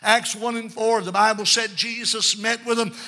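A man's voice: high voice, flat delivery, moderate pace, sharp tone. An older man preaching a fervent Pentecostal sermon, exhorting listeners and punctuating his points with amens and calls for response.

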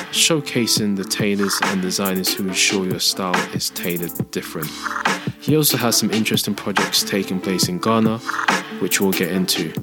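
A man hosting a podcast, chatting casually with a guest, with many fillers and restarts. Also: lowish voice. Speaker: podcast host. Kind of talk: casual interview chat. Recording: dry with faint music.

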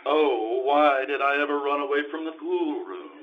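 An actor playing a prissy, long-suffering hotel clerk, fussy and hesitant, in a screwball comedy radio play. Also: male voice, a little high, normal speed, natural voice. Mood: exasperated. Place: live theatre stage. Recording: muffled live stage recording with hiss.

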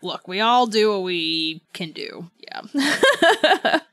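A woman's voice: fairly high pitch, very animated, drawn-out words, fairly bright tone, no echo. A woman co-hosting a podcast, chatting casually about books in an informal, easygoing way and chuckling readily. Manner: informal, chuckles easily while talking. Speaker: podcast co-host. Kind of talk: casual book discussion chat.

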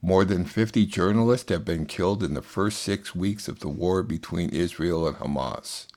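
A man's voice: deep voice, normal speed, darkish tone. A man hosting a radio news programme, reading scripted announcements and links. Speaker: radio news show host.

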